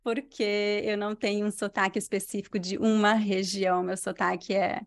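A Brazilian woman with a mixed Brazilian Portuguese accent, a language teacher answering interview questions in clear, unhurried speech. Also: medium pitch, little pitch movement. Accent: Brazilian Portuguese, mixed regional. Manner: clear, unhurried diction, completes every phrase.

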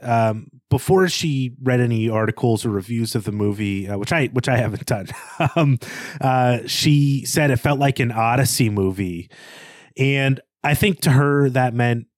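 A man's voice: mid-range voice, dry recording. A man co-hosting a podcast, discussing film in a casual, reflective and earnest way. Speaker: podcast co-host.

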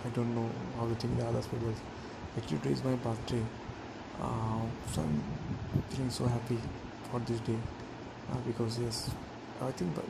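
A young Indian man with Hindi as his first language talks to his listeners in slow, halting English, pausing often on "uh". His voice is gravelly and stays mostly on one note. There is clear noise behind him in the recording. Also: medium pitch. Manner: halting, many "uh" fillers, non-fluent English. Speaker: audio diary speaker. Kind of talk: personal monologue to listeners.

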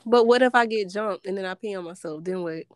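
A woman's voice: mid-range voice, fast, no echo, studio quality. A woman co-hosting a podcast in a casual chat, slightly nasal as she gets over a sinus infection, laid-back and full of slang. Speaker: podcast co-host.

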